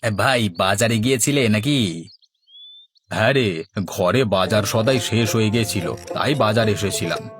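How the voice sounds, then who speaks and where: A man plays an easygoing village husband in a cartoon, with a fairly low voice. He is henpecked, placating and wryly grumbling. Clear background music with plucked strings runs behind him. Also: measured pace, natural voice. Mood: weary, friendly. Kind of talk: cartoon dialogue acting.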